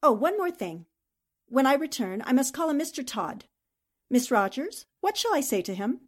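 Woman acting an anxious, high-pitched countess spy. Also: big pitch swings. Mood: flustered. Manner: halting, pleading, theatrical. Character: anxious, defensive countess, a Nazi spy impostor. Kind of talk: 1940s radio drama acting.

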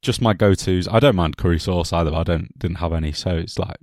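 Host: low man, chatty and jokey, slight cough, dry sound. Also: fast talker, darkish tone, no room sound. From England. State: has a cough. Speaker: podcast host. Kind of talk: casual football podcast chat.

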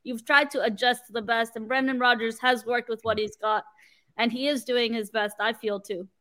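A woman's voice: a little high, steady tone, moderate pace, sharp tone. A woman from Canada, a guest on a football fan show, chatting casually about a match with many fillers and restarts.

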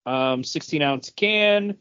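A man's voice: fairly high pitch, expressive, slow pace, pushed and bright, clean tone, dull audio. A man co-hosting a podcast, chatting casually with his friends. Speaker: podcast co-host.

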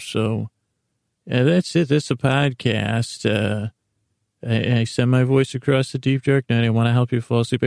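Soothing, creaky-voiced man rambling at bedtime. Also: mid-range voice, a little mellow, no room sound, studio quality. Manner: meandering, halting, word-fumbling; soothing, lulling delivery. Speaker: podcast host. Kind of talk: rambling bedtime podcast monologue.

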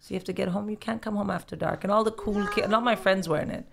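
A woman's voice: medium pitch, fairly even intonation, rapid-fire, vocal fry, a crowd in the background. A woman from India, a podcast guest, telling candid stories in casual chat with frequent restarts.

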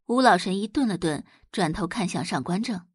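A woman's voice: medium pitch, lively intonation, moderate pace, husky, no echo, studio quality. A woman reading dramatic scripted narration as an audiobook narrator.

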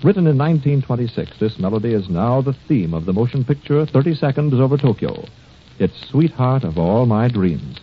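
Old-time american radio announcer guy, formal and polished, pitch swoops around, dull noisy 40s recording. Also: sing-song, brisk. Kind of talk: 1940s radio music program announcing, scripted narration.

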